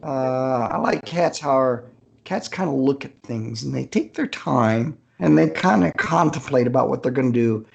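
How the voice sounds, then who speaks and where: A middle-aged or older man speaks as a guest in a relaxed interview. His pitch is average for a man. He takes his time, hesitating now and then, and keeps a good-humored manner. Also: moderate pace, creaky, lo-fi sound. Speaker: author guest. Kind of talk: casual interview chat.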